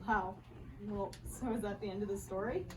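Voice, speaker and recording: A woman's voice: medium pitch, fairly even intonation, moderate pace, natural voice. A woman playing a skeptical but supportive wife, wry and incredulous, in a live radio play reading. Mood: curious. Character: skeptical, supportive wife listening to her husband's story. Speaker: reader in a staged radio play. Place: live reading at a bookshop event. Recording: distant microphone with clear background noise.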